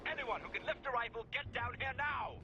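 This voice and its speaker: A man's voice: very high-pitched, animated, brisk, background noise. An actor playing a harried male army officer, urgent and barking orders, in a video game cutscene. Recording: loud music and battle gunfire.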